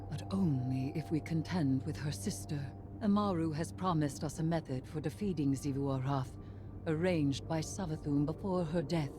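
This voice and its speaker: Low grave witch for a sci-fi game, portentous and formal, music underneath. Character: solemn, ominous female witch and occult adviser. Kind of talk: video game mission dialogue.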